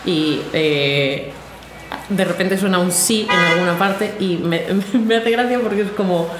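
A woman's voice: on the low side, a little slow, heavy background noise. Woman chatting in an echoey room. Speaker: interviewee, musician. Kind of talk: casual chat.

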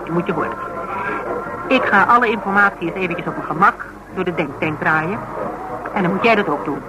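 An actress playing a sharp, scheming insurance investigator in a radio drama, teasing and talkative. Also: female voice, fairly low pitch, brisk, over music, lightly acted, rough recording. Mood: animated, reasoning.

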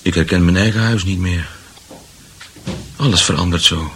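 Deep-voiced, grumbling ageing householder. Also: male voice, fairly bright tone, slight background noise. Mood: uneasy, grumbling. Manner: grumbling, halting, repeating himself. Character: ageing, put-upon householder, suspicious and proud of garden. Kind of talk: Dutch radio drama acting.

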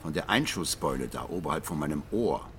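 A man's voice: very deep voice, expressive, fairly quick, a bit bright, a little hiss or hum. A man playing a blind music critic in a radio drama, self-mocking, theatrical and brooding in his confessional talk. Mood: sardonic, insistent. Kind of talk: radio drama monologue and dialogue.

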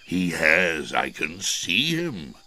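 A man voicing a weary elephant in a children's storybook with a ponderous, drawn-out delivery. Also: low voice, wildly swooping pitch, sharp tone, a little hiss or hum, full character voice. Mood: matter-of-fact. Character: slow, weary, low-voiced storybook elephant.

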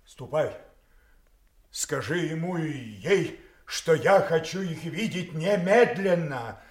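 A man's voice: a little high, animated, takes it slowly, reverberant. An older man acting a proud, wrathful, wounded old king and father in a theatrical, declamatory classical tragedy style. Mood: impatient, commanding. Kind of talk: classical stage tragedy, audio play acting.

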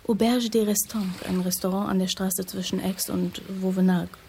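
An actress playing a sober, knowing investigative journalist in a radio drama, direct and matter-of-fact. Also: female voice, medium pitch, steady tone, moderate pace, gravelly, a little mellow, slight background noise, natural voice. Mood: sober, explaining. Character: sober, knowing female investigative journalist, loyal old friend.

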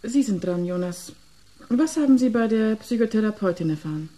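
A woman's voice: on the high side, expressive, normal speed, dark tone. A woman playing a cool, determined agent in a German radio play, composed, businesslike and assertive.

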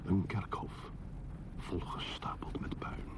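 An actor playing a brooding, solitary man in a poetic radio drama monologue, solemn and often breathy. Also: lightly acted. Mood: solemn, bleak. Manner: solemn, measured, often breathy near-whisper. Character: brooding solitary man recalling a dead city.

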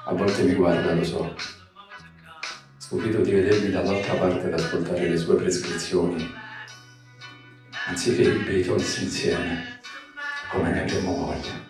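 A man reads poetry for radio theatre in a measured, solemn, literary way. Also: deep voice, flat delivery. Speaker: poetry reader. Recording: very echoey, distant, music behind. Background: music bed.

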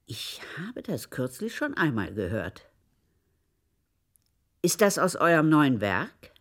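An actress playing a devout, tender, grieving servant woman in a German radio play, moving between hushed and insistent delivery. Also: low-pitched, sing-song, slow pace, exaggerated voice. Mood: soft, soothing.

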